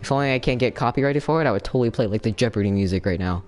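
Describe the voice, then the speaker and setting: A young man chatting casually while he streams a fighting game, excitable, with sudden yelps and laughs. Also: medium pitch, rapid-fire, faint music behind. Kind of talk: casual gameplay commentary. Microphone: no camera, desk mic.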